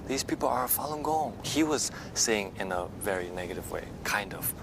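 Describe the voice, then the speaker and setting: A man's voice: animated, normal speed, creaky. A man in an interview, hesitantly describing an encounter in a casual way. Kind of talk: personal account in interview. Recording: noisy with rumble behind.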